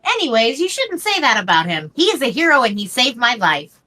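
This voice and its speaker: A woman's voice: fairly high pitch, big pitch swings, brisk, bright, forceful tone, full character voice. A woman voicing a spirited, frank young female troll in an amateur webcomic dub. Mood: scolding. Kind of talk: amateur dramatic reading of a webcomic.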